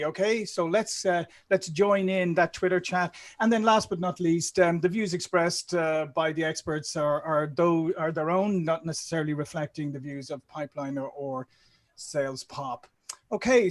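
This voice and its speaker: This is a man with a distinctly high voice for a male speaker. He is hosting a live online event, talking directly to viewers and putting questions to guests. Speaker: event host. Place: online group call.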